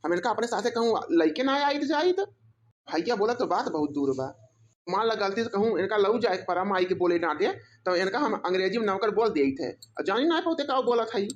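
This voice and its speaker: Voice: mid-range voice, expressive, a little fast, dull audio, a little hiss or hum, natural voice. A performer voicing a smug, mocking young husband in an Awadhi cartoon comedy, teasing and boastful with an Awadhi accent. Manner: teasing, boastful, rambling mockery.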